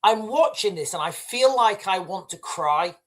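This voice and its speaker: A man's voice: high voice, lively intonation, unhurried, a bit bright. A man hosting a livestream, giving loose running commentary over video clips and talking straight to his viewers. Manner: rambling, talks straight to viewers as "guys", frequent restarts and "right". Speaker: livestream host.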